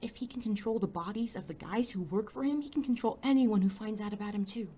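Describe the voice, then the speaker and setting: A woman's voice: medium pitch, quick pace, dark tone, slightly rough, close to an everyday voice. A woman playing an anxious, sarcastic young sidekick in an audio drama, both in dialogue and first-person narration, with a wary, dry manner. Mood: uneasy narration. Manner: wary, dry, sometimes whispering.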